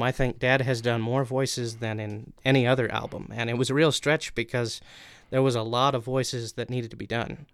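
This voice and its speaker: A man's voice: medium pitch, clean tone, dry recording. Young male voice actor, clean resonant tone, dry sound, earnest conversational interview delivery. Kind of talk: casual interview talk about voice acting.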